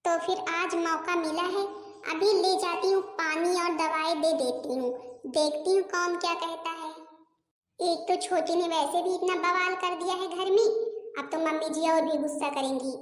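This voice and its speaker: A woman plays a scheming young daughter-in-law in a village cartoon comedy. The voice is extremely high, almost childlike, and full of aggrieved chatter and theatrical asides. It rings with long, hall-like echo. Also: steady tone, moderate pace. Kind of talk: exaggerated Hindi cartoon comedy dialogue. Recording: echoey hall-like reverb.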